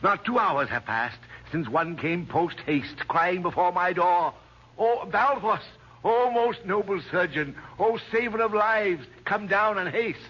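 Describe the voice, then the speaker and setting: An actor playing a portly, boastful older physician of ancient Bethlehem in an old-time radio drama, grandiloquent and long-winded in archaic English. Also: male voice, high-pitched, unhurried, slightly rough. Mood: excited, self-important. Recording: dull old radio recording.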